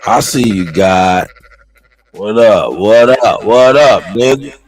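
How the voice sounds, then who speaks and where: A man hosting a livestream, chatting casually in slang and repeating his phrases as he gives shout-outs. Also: on the low side, slow. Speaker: live stream host.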